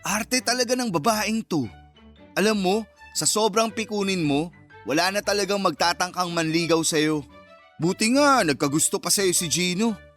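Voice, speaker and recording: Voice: medium pitch, normal speed, a bit bright, natural voice. A playful young best friend played by a performer in a romance audio drama, in a mid-range voice that could be a man's or a woman's. The banter is teasing, exaggerated and mocking, with music behind it. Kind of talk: Filipino radio-style romance drama acting.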